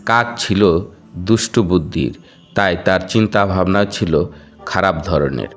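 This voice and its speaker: A man's voice: deep voice, animated, moderate pace, a bit bright, soft background music. A man narrating a children's cartoon fable as a scripted storybook tale.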